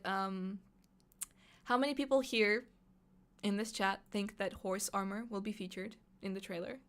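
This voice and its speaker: A young woman, a native Russian speaker, chatting excitedly on a live stream and reading out chat questions. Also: medium pitch. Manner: chatty, excitable, thinks aloud with fillers.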